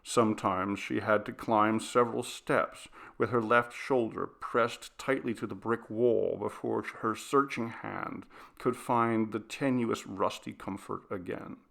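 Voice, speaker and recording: A man reading a literary ghost story aloud as an audiobook narrator, in a measured, dramatic storytelling manner. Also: lowish voice, fairly even intonation, gravelly, a bit bright.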